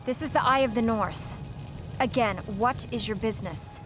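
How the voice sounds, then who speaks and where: A woman's voice: fairly high pitch, moderate pace, natural voice, poor audio. A woman playing a guarded, firm soldier in command in a video game cutscene, speaking tersely. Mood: challenging. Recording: loud music behind voice.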